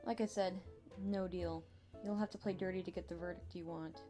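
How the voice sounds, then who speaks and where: A woman's voice: lowish voice, fairly even intonation, normal speed, dark tone, soft background music, natural voice. A woman playing a feisty, defiant defendant in an audio drama, sassy and combative. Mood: indignant, defiant.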